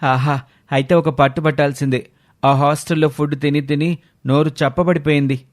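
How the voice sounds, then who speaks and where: A man's voice: fairly high pitch, steady tone, moderate pace, lightly acted. A man playing a dutiful, questioning young student son, earnest and respectful, in an audio story. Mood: pleased. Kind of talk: scripted audio story dialogue.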